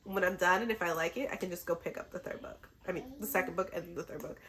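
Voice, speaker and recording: A woman's voice: lowish voice, fairly even intonation, fast, crowd noise. A woman who is a little sick chats casually and enthusiastically to camera as a book vlogger, in an informal way.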